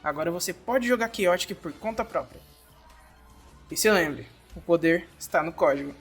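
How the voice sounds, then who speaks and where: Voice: medium pitch, lively intonation, moderate pace, over music. A narrator with a Brazilian Portuguese accent, reading a scripted game tutorial and instructively addressing the learner directly.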